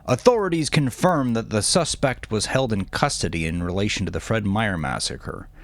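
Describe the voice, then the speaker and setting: A man voicing a male radio news anchor, reading in a measured, formal manner. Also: lowish voice, expressive, dry recording, voice pushed up.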